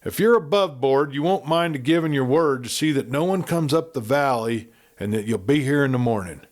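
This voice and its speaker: A man voicing a resentful, suspicious outlaw who makes sharp accusations. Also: mid-range voice, expressive, a little fast, pitched above the performer's own voice, close to an everyday voice. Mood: accusing.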